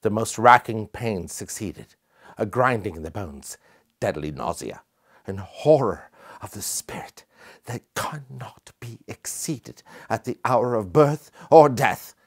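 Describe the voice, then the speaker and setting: Haunted gothic gentleman scientist, male actor, middle-aged, husky and slow, grave theatrical monologue. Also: on the low side, lively intonation, full character voice. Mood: anguished. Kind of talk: dramatic monologue from gothic fiction.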